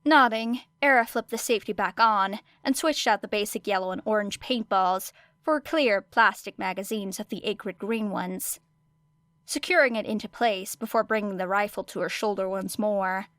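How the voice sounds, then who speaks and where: A woman's voice: medium pitch, normal speed, a bit bright, no room sound. A woman reading scripted fiction as an audiobook narrator.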